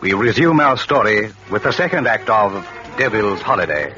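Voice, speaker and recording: A man's voice: fairly low pitch, animated, moderate pace. A man announcing in a formal, scripted old radio style. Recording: muffled old radio, loud music behind.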